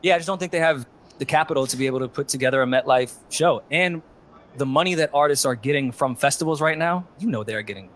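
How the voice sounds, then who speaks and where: A man co-hosting a talk show, chatting and asking questions in a relaxed way. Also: mid-range voice, fast. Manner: questioning, conversational. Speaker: podcast co-host. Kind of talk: casual chat.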